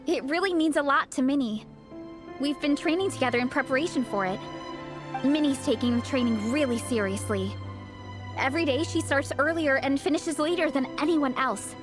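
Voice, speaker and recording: A woman's voice: high voice, animated, normal speed. A woman acting as a supportive, loyal young friend in a video game, polite, upbeat and sincere. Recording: background music under the dialogue.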